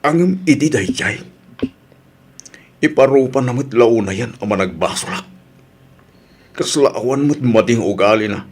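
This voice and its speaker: An actor playing a remorseful, troubled husband in a radio drama, pleading hesitantly and stammering. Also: male voice, mid-range voice, animated, a little slow, pauses often, breathy edge. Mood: downcast, frustrated.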